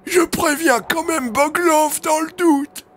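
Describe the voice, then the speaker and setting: Un prisonnier cinglé, voix suraiguë. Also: male voice, very high-pitched, takes it slowly, faint music behind, voice pushed up. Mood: suspicious, excitable. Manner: frantic, whining, drooling eagerness. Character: greedy, manic, dim-witted escaped prisoner henchman. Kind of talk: audio fiction character acting.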